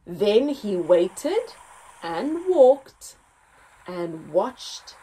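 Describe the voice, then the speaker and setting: A young woman reading a picture story aloud for small children, expressively, with deliberate pauses and emphasis and a slightly husky voice. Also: medium pitch, big pitch swings, dark tone, a little hiss or hum, low-quality recording. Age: young adult. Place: indoors, small room with painted backdrop.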